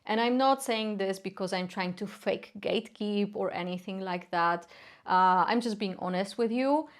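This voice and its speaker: Polish-accented young woman, earnest, halting fillers, mid-range voice, casual explainer. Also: medium pitch. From Poland. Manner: earnest, frequent filler sounds. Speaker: folk magic educator. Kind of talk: casual explanatory talk to camera.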